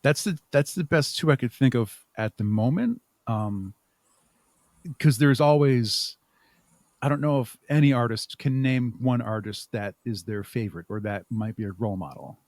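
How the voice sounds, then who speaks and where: A man with a mid-pitched voice chats as an interview guest. He answers in a thoughtful, wandering way and plays himself down. The sound is dry and close, with little room in it. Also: normal speed, darkish tone, no echo. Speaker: podcast guest, audio drama creator. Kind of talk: casual interview conversation.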